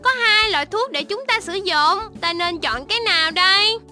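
A woman's voice: extremely high pitch, expressive, moderate pace, over music. A woman voicing a cheerful, caring little baby shark doctor in a children's cartoon, encouraging and sing-song.

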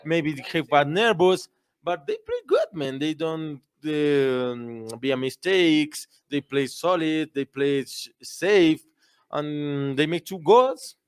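Young guy, slow halting english, keeps hunting for the word, bit high voice. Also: sing-song, clean tone. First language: Spanish. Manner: halting, searches for words. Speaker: football analyst. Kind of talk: casual football chat. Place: small indoor studio with a backdrop.

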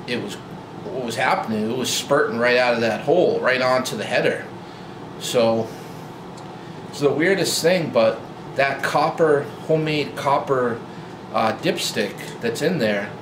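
A man in his forties talking casually to camera about his old truck, relaxed and rambling with lots of fillers and restarts, filmed in a garage. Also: medium pitch. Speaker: vlogger. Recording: roomy garage with loud hum.

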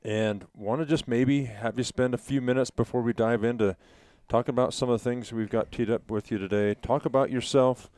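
A man's voice: medium pitch, fairly even intonation, a little fast, hardly any pauses, darkish tone, dry recording. Man co-hosting, close dry sound. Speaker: podcast co-host. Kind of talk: casual hunting podcast discussion. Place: small wood-panelled room, around a table. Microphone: headset mic.

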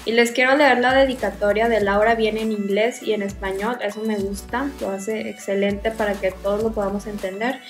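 A woman's voice: mid-range voice, fairly even intonation, moderate pace, few pauses. A young woman with a Mexican accent talks to camera in a casual, enthusiastic way, reviewing makeup as a video host. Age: young adult. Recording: roomy echo, music behind. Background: music.

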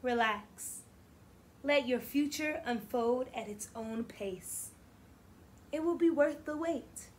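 A young woman teacher reading a picture book aloud to children in an animated, deliberate, encouraging way. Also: sits high, a little hiss or hum. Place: small indoor room against plain backdrop.

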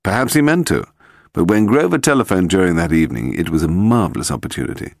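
Audiobook casting: male narrator as calm, wry gentleman detective, cheerful, explanatory, expressive pitch, gravelly edge. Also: fast talker, no echo, clean studio sound, full character voice. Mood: explaining.

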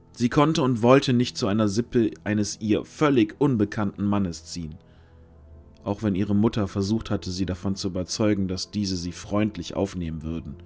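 A male narrator tells a fantasy tale in scripted storytelling style. His voice sits slightly low, with music playing behind it. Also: darkish tone.

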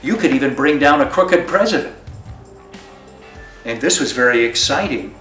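An American man in his sixties reminisces in interview style at a medium pitch. He sounds a little far from the mic in a roomy space. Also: expressive, normal speed, pushed and bright. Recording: roomy, distant mic, faint music.

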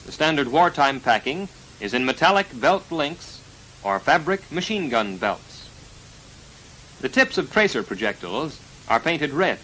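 An American man narrating a 1940s military instructional film in a formal, precise, measured delivery, on a vintage film soundtrack. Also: a little high, sing-song, pushed and bright, slight background noise, low-quality recording. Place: voice-over recorded for a film.

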